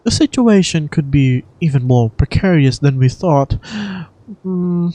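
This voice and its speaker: A woman voicing a calm, protective guardian for a video game in a measured, articulate, reassuring way. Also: low voice, no echo. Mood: grave, composed. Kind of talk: amateur video game dubbing.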